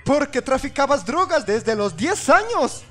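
A man's voice: extremely high pitch, sing-song, a little slow, fairly bright tone, faint music behind, exaggerated voice. A man with a Latin American Spanish accent voicing a wary, exasperated young gang member in a fan-dubbed game, skeptical and slangy. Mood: teasing. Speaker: fan dub voice actor. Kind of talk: fan-dubbed video game crime dialogue.

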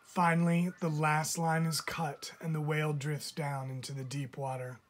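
A man reading a children's picture book aloud like a teacher, with expressive emphasis. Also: a little high, normal speed. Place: indoors, book filmed from above.

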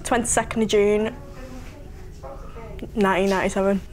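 A woman's voice: mid-range voice, steady tone, normal speed, a little mellow. Giggly young woman chatting. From Leyland, Lancashire, England. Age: young adult. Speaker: interviewee. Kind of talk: casual interview chat. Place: living room at home, indoors.

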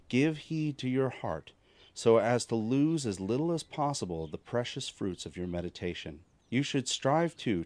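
A man narrating a scripted devotional text of spiritual counsel in a measured, formal, instructive manner. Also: lowish voice, a little mellow.

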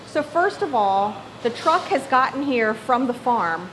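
A woman's voice: fairly high pitch, sing-song, measured pace, fairly bright tone, rough recording. A middle-aged woman hosting an interview, asking inquisitive questions conversationally and recapping what she hears. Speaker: interviewer, host. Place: farm and indoor creamery room. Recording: clear background equipment noise.

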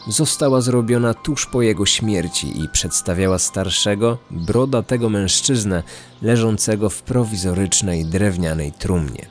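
A man reading scripted true-crime narration as the narrator of a podcast. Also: fairly low pitch. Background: background music.

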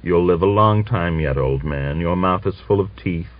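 A man's voice: low-pitched, moderate pace, dry recording, natural voice. A man doing a blunt young soldier. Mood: plain. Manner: short, plain replies. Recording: dull dry recording.

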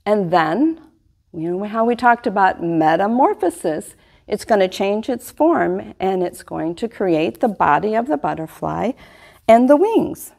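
A middle-aged woman giving a lively science lesson to young children, filmed indoors by a fixed camera. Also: mid-range voice, measured pace, gravelly. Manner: animated, speaks to young kids. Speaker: extension master gardener presenter. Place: small indoor room before a wall display.

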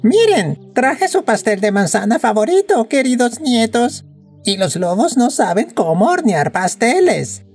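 A woman voicing a sly wolf posing as a sweet old grandmother in a children's cartoon, coaxing with drawn-out words. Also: a little high, very animated, a little mellow, slightly rough, soft background music, exaggerated voice. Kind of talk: children's animated fairy tale acting.

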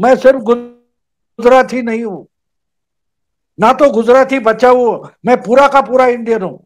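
An older man, a political commentator on a video call from a home study, arguing emphatically and repeating key phrases. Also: very high-pitched. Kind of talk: video call interview, explanatory argument.

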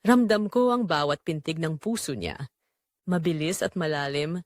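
A woman's voice: sits low, expressive, normal speed, no room sound, studio quality. A woman narrating a scripted, confessional first-person story. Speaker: narrator of an audio drama, reading as the wife.

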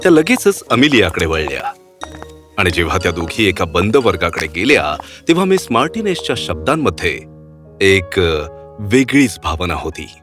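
Low, animated male storyteller. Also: normal speed. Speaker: narrator. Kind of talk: scripted storytelling narration. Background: background music.

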